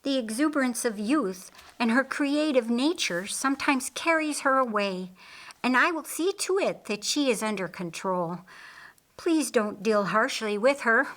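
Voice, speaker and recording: A woman's voice: fairly high pitch, animated, unhurried, fairly bright tone, pitched above the performer's own voice, poor audio. A woman voicing a humble, devoted servant mother in an audiobook, deferential and emphatic. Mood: pleading.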